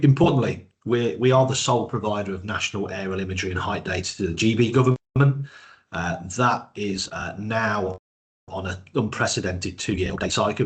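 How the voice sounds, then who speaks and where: A man with a cold and a croaky voice, giving a webinar presentation with many hesitations and repeated words. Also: deep voice.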